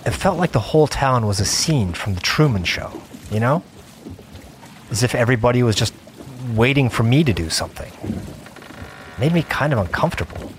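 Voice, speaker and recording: A man's voice: medium pitch, lively intonation, moderate pace, a little hiss or hum, close to an everyday voice. A young man playing a seasoned gamer, narrating his adventure in the first person in a conversational audio drama style. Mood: reflective. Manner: conversational, reflective. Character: wary, seasoned male gamer recounting his virtual adventure. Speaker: narrator and lead character.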